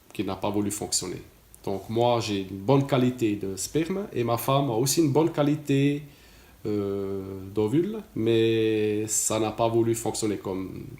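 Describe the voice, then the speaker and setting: A man's voice: medium pitch, a little mellow, roomy sound. A Swiss man in his late forties with a Swiss French accent, giving a casual testimonial, talking hesitantly with many fillers and restarts. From Switzerland. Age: 40s.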